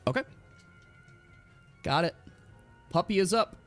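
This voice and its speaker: A man's voice: sits high, expressive, slow pace, a bit bright, faint music behind. A man acting as game master of a casual tabletop role-playing game, chatty and explaining the rules as he goes.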